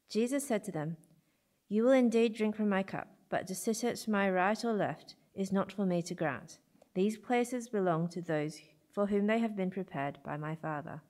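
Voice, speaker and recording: A woman reading a Bible passage aloud in a church, measured and steady. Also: lowish voice. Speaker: scripture reader.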